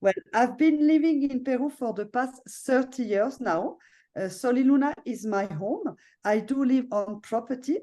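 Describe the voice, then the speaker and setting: Middle-aged French-accented woman, mellow voice. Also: fairly high pitch, moderate pace. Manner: chatty, frequent fillers and tag questions. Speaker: hotel owner presenting. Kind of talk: casual video call presentation. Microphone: video call.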